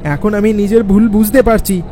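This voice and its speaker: Male narrator as rattled young-sounding boat passenger, high voice, stammering, agitated, horror story dialogue. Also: steady tone, normal speed, dark tone, slight background noise, natural voice. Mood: terrified pleading.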